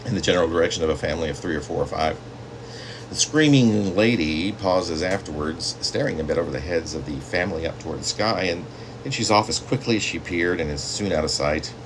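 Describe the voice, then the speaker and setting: A man reading his own literary story as a poet, with theatrical, drawn-out phrasing and dramatic emphasis. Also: low-pitched, noisy background.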